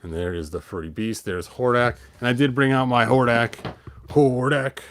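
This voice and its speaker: A man's voice: medium pitch, fairly even intonation, normal speed, slight background noise. A man reading a children's story aloud, breaking off for playful, rambling, wry asides in a casual way. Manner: playful, rambling, tosses in sound effects and wry remarks. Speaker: host of a toy and storybook show.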